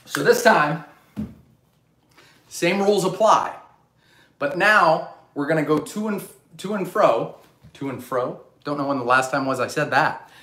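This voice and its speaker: A man in his thirties coaching a live online gym class for kids from his living room, calling out energetic commands and countdowns. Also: fairly high pitch, unhurried, long pauses, slight background noise. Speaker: fitness coach. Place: bright living room, indoors. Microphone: camera across the room. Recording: echoey room.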